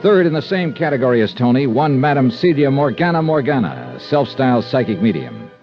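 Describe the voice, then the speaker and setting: An actor playing a wry, hard-boiled insurance investigator in 1950s radio detective style. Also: male voice, medium pitch, sing-song, faint music behind. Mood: brisk, businesslike. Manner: brisk, wry, clipped questioning. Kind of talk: 1950s radio detective acting with first-person narration. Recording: dull old radio recording.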